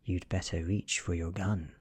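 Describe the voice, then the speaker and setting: An ancient vampire woman voiced by a British man speaks in calm, menacing threats. The voice is low and husky, almost whispered, and takes its time, as in a horror audiobook. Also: dry recording, heavily acted. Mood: calm menace. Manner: slow, soft, half-whispered threats.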